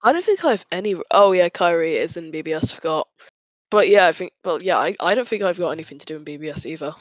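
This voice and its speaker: A man's voice: fairly high pitch, expressive, fast talker, no room sound. A man with a British accent chatting casually on a group podcast. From Britain. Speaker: podcast member.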